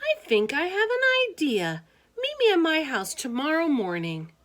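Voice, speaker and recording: A clever young schoolgirl, voiced by a woman reading a storybook. She sounds high and take-charge, like a concerned friend giving orders. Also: wildly swooping pitch, a little slow, a bit bright, full character voice. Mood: determined.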